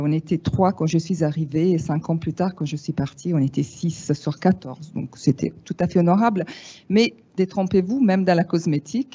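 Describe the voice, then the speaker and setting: A woman in her fifties from Italy, speaking French with an Italian accent, giving a lively, frank talk to an audience with wry asides. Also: on the low side. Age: 50s. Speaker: speaker at a panel. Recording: dull lo-fi sound.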